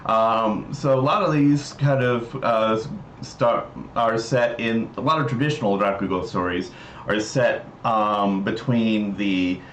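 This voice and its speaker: A man hosting a livestream, explaining things casually in a halting, hesitant way. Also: on the low side. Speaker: livestream host. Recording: roomy echo, dull mic, noisy background.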